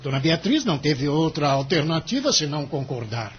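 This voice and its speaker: A Brazilian actor playing a cynical, money-minded senior lawyer in an audio drama, emphatic and condescending. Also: male voice, fairly high pitch, sing-song, brisk, breathy edge, muffled recording, exaggerated voice. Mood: grave, gossipy. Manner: emphatic, condescending, theatrical delivery.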